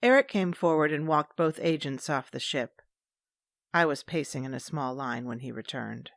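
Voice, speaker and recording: Gravelly, cold, scheming commander. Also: female voice, deep voice, lively intonation, moderate pace, no echo. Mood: measured narration. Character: cold, scheming vengeful woman, former Sith commander. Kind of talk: audio drama narration and dialogue. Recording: dry studio with music underneath.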